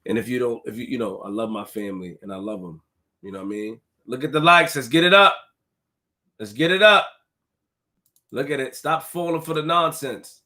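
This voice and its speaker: Man, livestream host, casual chat, repeats himself, shouts out viewers. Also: mid-range voice, a little fast. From Haitian family roots. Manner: hypes viewers, repeats phrases, reads donations aloud.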